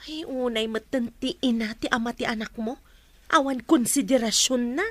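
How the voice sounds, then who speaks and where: A woman's voice: fairly high pitch, normal speed, a bit bright, dry recording. A woman with an Ilocano accent playing an outspoken, nosy acquaintance in a radio-style drama. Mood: indignant concern.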